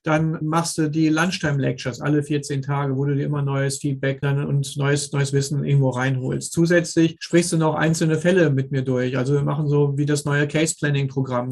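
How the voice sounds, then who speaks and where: Monotone, husky man hosting an interview. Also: a little high. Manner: affirming, complimentary. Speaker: host, orthodontics mentor interviewing a colleague. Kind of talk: conversational interview.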